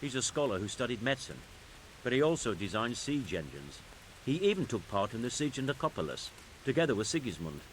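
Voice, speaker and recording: An actor playing an anxious, deferential medieval master engineer in a game, speaking hesitantly. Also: male voice, mid-range voice, very animated, brisk, close to an everyday voice, rough recording. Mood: worried, exasperated. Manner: hesitant, stammering. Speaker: mining engineer. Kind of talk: dramatic game cutscene acting. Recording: faint hiss and background noise.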